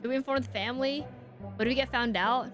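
A woman's voice: on the high side, quick pace, a bit bright. A woman streaming a game with casual live reaction commentary in short, slangy, exclamatory asides. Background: game music.

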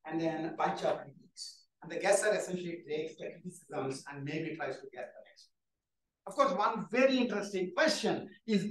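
High-voiced man lecturing in an echoey hall. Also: far from the mic. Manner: animated, tells jokes, frequent "right?" and "you know". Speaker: keynote speaker, professor. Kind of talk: lecture with audience questions. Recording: echoey hall, speaker off-mic.

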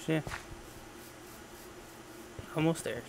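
A man's voice: slow pace, noisy background. A man giving casual gameplay commentary in an offhand way, muttering to himself as he records an audio log. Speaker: gamer recording audio logs.

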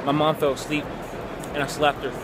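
A man's voice: fairly high pitch, completely flat delivery, fairly quick, loud music behind the voice. A teenage boy chatting casually and playfully into a handheld camera as he vlogs on the move. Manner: playful, teasing. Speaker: vlogger. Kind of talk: casual handheld travel vlog chat. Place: on the move, outdoors on streets and aboard transit. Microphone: handheld camera at arm's length.

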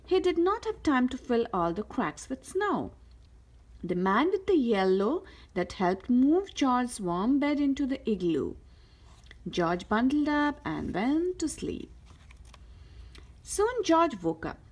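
A woman reading a children's picture book aloud as a storyteller, with careful, expressive delivery. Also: on the high side, husky. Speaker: host of a read-aloud story channel.

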